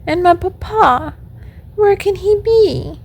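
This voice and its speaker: Woman acting out a childlike wooden puppet boy, very high voice, plaintive, emotional, exclaiming, storybook style. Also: lively intonation, exaggerated voice. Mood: grieving, pleading. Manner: plaintive, exclamatory, rapid questioning. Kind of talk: storybook character voice.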